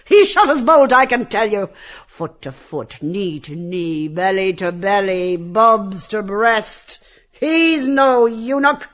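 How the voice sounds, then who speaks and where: A domineering, jeering brothel boss for an audiobook. The voice is pitched high and swoops animatedly as it bullies and hawks. The sound is slightly dull and lo-fi. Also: male voice, expressive, takes it slowly, fairly bright tone, exaggerated voice. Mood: jeering, ruthless. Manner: taunting, bullying, theatrical barking. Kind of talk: theatrical audiobook character reading.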